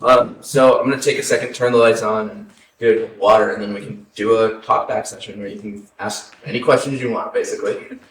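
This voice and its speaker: A man's voice: on the low side, fairly even intonation, brisk. A man speaking informally and politely to an audience, a little hesitant, as he presents a talk-back after a play. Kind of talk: casual audience announcement. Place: small arts centre theatre, recorded from a distance. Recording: echoey room, distant mic, faint noise.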